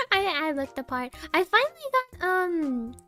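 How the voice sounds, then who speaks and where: A young woman with a tiny, sweet, high voice chats on her stream. She rambles, trails off and laughs at her own deadpan jokes. Soft music plays faintly behind her. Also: slow pace. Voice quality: small sweet voice. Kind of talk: casual live-stream chat.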